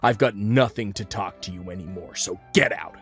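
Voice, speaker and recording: A man's voice: deep voice, moderate pace, a bit bright. Man acting an earnest, protective young husband. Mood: angry, firm. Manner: earnest, emotional. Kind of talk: dubbed comic dialogue. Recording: music behind the voice.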